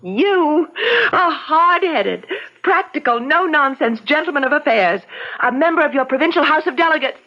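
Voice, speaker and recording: An actress playing a haughty, persuasive young colonial gentlewoman in a radio drama, with formal, theatrical, imperious delivery. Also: sits high, animated, normal speed. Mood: scornful. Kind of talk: old-time radio drama acting. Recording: dull, lo-fi radio drama recording.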